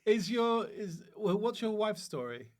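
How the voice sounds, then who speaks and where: A man's voice: high-pitched, very animated, measured pace, darkish tone. A man telling casual comic anecdotes as a podcast guest, in a gossipy, camp manner. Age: 30s or older.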